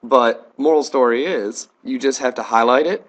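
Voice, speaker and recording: An American man teaching a software tutorial in a plain, step-by-step instructional manner. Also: mid-range voice, normal speed. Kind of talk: instructional screencast narration.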